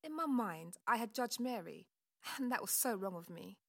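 Devout, sceptical mother, middle-aged, actress, higher register, exclamatory delivery for audio drama. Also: expressive, normal speed. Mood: remorseful.

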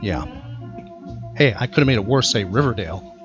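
A man's voice: fairly low pitch, animated, brisk. A man hosting a podcast, switching between quiz questions and casual chat. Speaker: podcast host. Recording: slightly dull audio, music behind. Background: background music.

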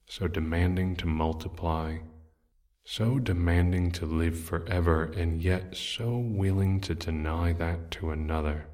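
A man playing a weary, bitter old painter in an audio drama, speaking in a grave, deliberate and brooding way. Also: low voice, a little mellow. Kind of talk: audio drama narration and dialogue.

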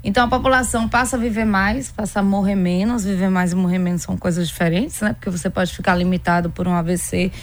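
A woman's voice: medium pitch, fairly even intonation, quick pace, hardly any pauses. Northeastern Brazilian woman, explanatory interview guest. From Pernambuco, Brazil. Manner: explanatory, uses everyday examples. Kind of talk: conversational expert interview.

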